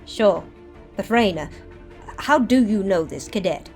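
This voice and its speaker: A woman playing a brisk, composed army captain who instructs with authority in an audio drama. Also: medium pitch, lively intonation, a little mellow, soft background music. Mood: puzzled.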